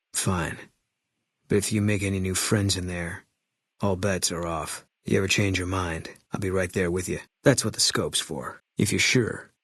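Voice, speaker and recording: A haunted former soldier turned sniper in a video game, a man with a heavy past. The voice sits low and carries a husky grain, with a dry, close sound. Every line comes out terse, clipped and grim. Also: fairly bright tone, dry recording, heavily acted. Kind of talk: video game character dialogue.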